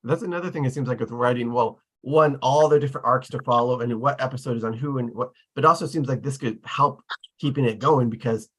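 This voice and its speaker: A man's voice: medium pitch, brisk, fairly bright tone, slightly rough. A man moderating a casual group discussion, thinking aloud in a tentative way.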